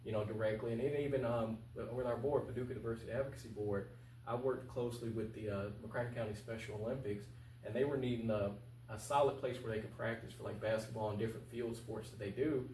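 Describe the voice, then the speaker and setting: Fast-talking young man, roomy distant sound. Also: mid-range voice, fairly even intonation, a little mellow. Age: 20s. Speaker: interview guest, candidate for local office. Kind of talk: casual, earnest campaign interview talk. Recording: roomy, distant mic with faint hum.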